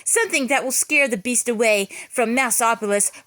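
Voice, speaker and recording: A woman's voice: on the high side, normal speed, very noisy, lightly acted. A woman voicing an earnest mouse professor in a storybook, speaking in a formal, lecturing way. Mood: grave, lecturing.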